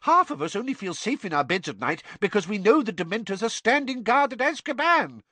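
A man voicing a blustering, pompous middle-aged male politician who bursts out in shrill indignation, in a storybook reading. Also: big pitch swings, normal speed, fairly bright tone, dry recording, heavily acted. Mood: outraged.

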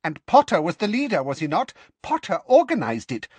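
Umbridge, a man doing a sugary, shrill female official. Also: huge pitch swings, a little slow, no echo, full character voice. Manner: high, coaxing, falsely sweet. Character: simpering, sugary middle-aged female official.

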